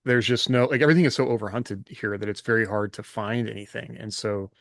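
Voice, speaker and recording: A man co-hosting a podcast in casual conversation, halting a little as he thinks aloud. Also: on the low side, fairly even intonation, vocal fry, a bit bright, no echo, studio quality. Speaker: podcast co-host. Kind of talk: casual conversational chat and questions.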